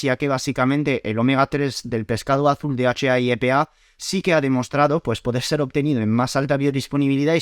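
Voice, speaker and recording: A man's voice: medium pitch, smooth, no room sound, clean studio sound. A man from France with a French accent, explaining nutrition science to camera in a friendly, step-by-step way with small fillers. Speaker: nutrition YouTuber.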